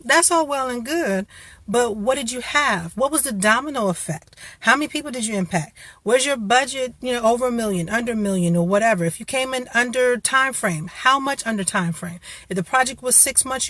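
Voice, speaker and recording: A woman's voice: a little high, fairly bright tone, no room sound. A woman hosting a casual motivational talk, giving encouraging career advice in a conversational way with frequent restarts. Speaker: career coach host.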